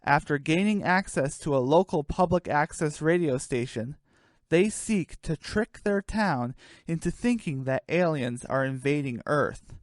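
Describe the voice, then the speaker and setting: A woman's voice: low voice, expressive, measured pace, no echo, studio quality. A woman narrating a scripted comic radio play in a storytelling style.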